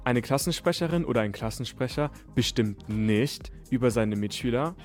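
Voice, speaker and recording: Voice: medium pitch, expressive, normal speed. A radio presenter reading scripted narration in German for a report. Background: music.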